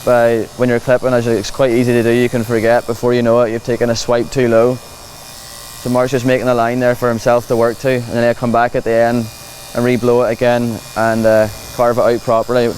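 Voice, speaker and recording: Man, Northern Irish accent, little pitch movement, practical instructor commentary, plain and reassuring, noticeable electric buzz in background. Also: completely flat delivery, a little fast, a little mellow, rough recording. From Northern Ireland. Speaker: livestock clipping demonstrator. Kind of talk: explanatory live demonstration commentary. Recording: clear buzzing clipper and machinery noise.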